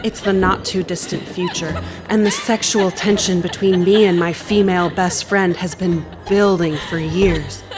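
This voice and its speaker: A woman's voice: fairly low pitch, fairly even intonation, measured pace, over music, close to an everyday voice. A woman playing a sardonic, vengeful queer woman from fiction come to life in an audio play, with a theatrical delivery. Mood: mock dramatic. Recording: clear background noise.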